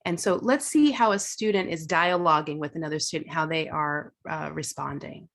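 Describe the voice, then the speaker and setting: A woman's voice: sits low, moderate pace, gravelly. A woman instructor presenting at an online teaching workshop, talking casually and thinking aloud, with fillers and restarts.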